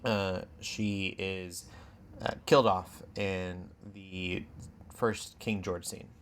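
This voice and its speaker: A man chatting casually as a podcast co-host, informal and halting as he thinks aloud. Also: low-pitched, fairly even intonation, slight background noise. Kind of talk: casual chat.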